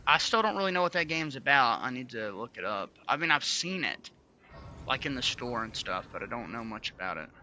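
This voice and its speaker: Young male streamer, bright tense voice, casual and profane, light hiss behind. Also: medium pitch, fairly quick. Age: 20s. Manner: relaxed, swears freely, chats to viewers. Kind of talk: casual livestream chat.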